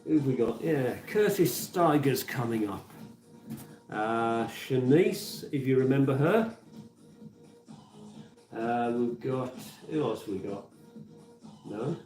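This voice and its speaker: A man's voice: mid-range voice, lively intonation, slow pace, long pauses, a little mellow. Man, 50s, Birmingham accent, chatty radio presenter, echoey room, music underneath. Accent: Birmingham (Brummie). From Birmingham, England. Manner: chatty, rambling, with many fillers and restarts. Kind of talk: casual live radio chat with song links. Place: community radio studio. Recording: echoey room, mic at a distance. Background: music playing.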